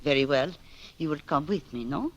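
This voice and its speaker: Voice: medium pitch, lively intonation, moderate pace, no room sound, highs cut off. A performer playing an imperious, mysterious young woman in a 1940s radio drama, stiff and formal. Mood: commanding.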